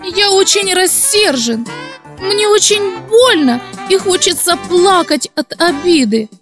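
A woman's voice: very high voice, expressive, slow pace, over music, exaggerated voice. A woman voicing a hot-tempered, easily hurt male disciple, exclaiming emphatically in a children's story. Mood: upset. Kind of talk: quoted dialogue in children's storytelling.

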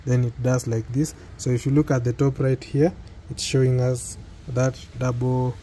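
Male tutorial presenter, Kenyan accent, mid-pitched, hesitant unscripted delivery, faintly noisy phone capture. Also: flat delivery, normal speed, warm tone. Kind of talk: unscripted step-by-step tech tutorial.

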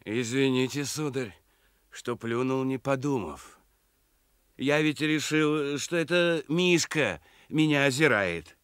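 An actor playing a brazen, sly jailed crook, insolent and mock-courteous, in a radio play. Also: male voice, medium pitch, slow, a bit bright, clear, resonant tone, no echo, studio quality. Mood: mock apologetic. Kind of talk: Soviet radio play acting.

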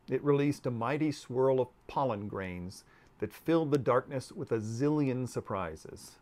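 Expressive, animated man reading a story slowly. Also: mid-range voice. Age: 50s. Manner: deliberate, expressive, with dramatic pauses. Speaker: author reading aloud. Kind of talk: dramatic storybook narration. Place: book-lined room at home.

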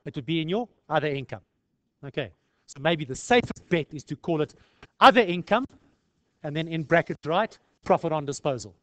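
A man's voice: fairly high pitch, expressive, normal speed, fairly bright tone, no room sound. A South African man lecturing in an informal tutorial style, conversational and peppered with rhetorical questions. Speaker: accounting lecturer.

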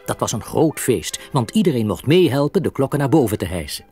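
An actor as the narrator of a children's radio play, a man telling the story in a husky voice. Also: mid-range voice, big pitch swings, brisk, warm tone, dry recording, soft background music. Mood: storytelling.

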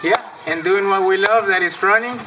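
A man's voice: high voice, moderate pace. A young adult man originally from Venezuela, a vlog host talking in an upbeat, enthusiastic way outdoors. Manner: upbeat, enthusiastic, laughs often. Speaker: vlog host and running coach. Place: outdoors in a sunny field. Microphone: camera mic from a distance. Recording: distant outdoor mic, faint noise.

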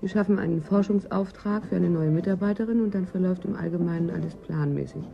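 A shrewd, self-assured woman agent in a sci-fi radio drama. She speaks in a lowish voice with a cool, knowing manner. The sound is slightly dull and lo-fi. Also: quick pace, vocal fry, music in the background. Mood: matter-of-fact. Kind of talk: German science-fiction radio play acting. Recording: dull lo-fi radio play recording.

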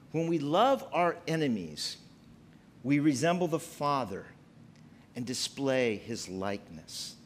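A man in his fifties preaching a sermon from a church pulpit, emphatic and gesturing as he speaks. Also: slight background noise. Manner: preaching with wide pitch swings, emphatic and gesturing. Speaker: pastor. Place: church sanctuary at a pulpit, indoors.

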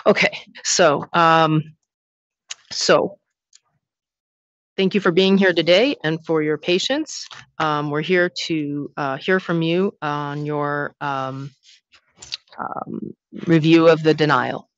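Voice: medium pitch, fairly even intonation, slow pace, pauses often, no room sound. Hearing chair explaining procedure, reassuring with lots of ums, dry muffled mic. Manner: explanatory, reassuring, filler-heavy. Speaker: commission chair addressing an applicant.

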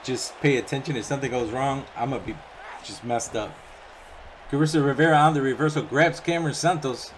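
A young man doing play-by-play commentary over a wrestling video game, chatty and casual between calls and getting excited on the big moves. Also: mid-range voice, fairly quick, a little hiss or hum. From Puerto Rican background. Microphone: home microphone, voice-over on game footage.

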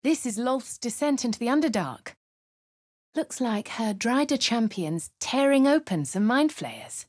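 A woman with a posh southern English accent playing a wry, composed female adventurer in a fantasy game, narrating in a crisp, clipped way with dry asides. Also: mid-range voice, dry recording. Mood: measured. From Baldur's Gate. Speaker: player character. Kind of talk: video game voice lines.

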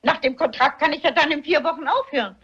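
A woman's voice: sits high, fast, a bit bright. An actress playing a polite, shrewd, unflappable lady sleuth in a German radio mystery play. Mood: sly, composed. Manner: courteous, composed. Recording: muffled, dull radio play recording.

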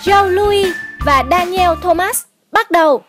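A woman voicing an excited cartoon boxing announcer with loud, drawn-out, rousing calls. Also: very high voice, over music.

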